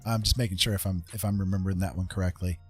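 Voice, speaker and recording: A man hosting a live stream in a casual, rambling chat, often pausing mid-thought and repeating his phrases. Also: deep voice, fairly even intonation, faint music behind.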